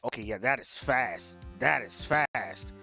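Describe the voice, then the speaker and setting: Slow-paced casual game streamer over music. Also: medium pitch, lively intonation, vocal fry, sharp tone. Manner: informal, slangy, incredulous reactions. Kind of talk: casual live let's play commentary, reading dialogue aloud.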